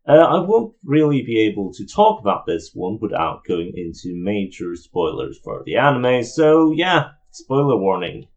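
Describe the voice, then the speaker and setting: A man's voice: on the low side, dull audio. A man giving an opinionated, explanatory video review in an informal commentary style.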